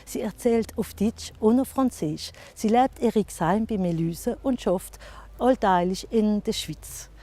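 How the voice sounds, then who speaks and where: A woman's voice: mid-range voice, unhurried, mellow. A middle-aged woman with an Alsatian accent, a storyteller whose first language is Alsatian, talking about her work and telling a fairy tale in a lilting way. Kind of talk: storytelling and interview.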